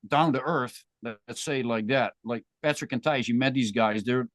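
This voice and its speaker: A man's voice: medium pitch, moderate pace, fairly bright tone, dry recording. Dutch man, dry close sound. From Netherlands. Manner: thoughtful, rambling with restarts. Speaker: guest musician. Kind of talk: casual interview chat.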